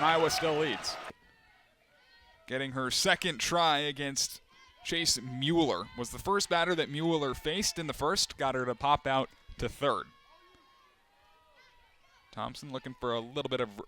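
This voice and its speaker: A young man calling live games as a play-by-play announcer, steady between plays and climbing sharply in pitch and volume on big moments. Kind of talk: live sports play-by-play commentary.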